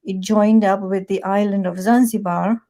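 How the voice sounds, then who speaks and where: A woman's voice: mid-range voice, fairly even intonation, moderate pace, warm tone. A woman in her sixties from Tanzania, a publisher, chatting as an interview guest with many "you know"s and restarts. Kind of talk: conversational interview.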